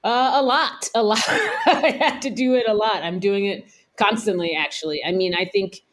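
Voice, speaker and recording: An American woman chef, around her thirties, talking candidly and thoughtfully in a relaxed interview, laughing now and then. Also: medium pitch, normal speed. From United States. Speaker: chef, guest. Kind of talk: casual interview talk.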